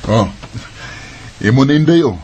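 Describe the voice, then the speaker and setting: A man's voice: mid-range voice, animated, measured pace, darkish tone, faint music behind, close to an everyday voice. A man playing a protective, hardworking older brother in a Filipino Bisaya radio drama. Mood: easygoing. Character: protective, hardworking older brother raising his younger sister.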